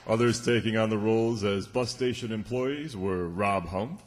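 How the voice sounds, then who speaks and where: Low-voiced male announcer in an echoey hall. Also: moderate pace. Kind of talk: old-time radio announcing to a live audience. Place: township hall stage. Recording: echoey hall, clear background noise.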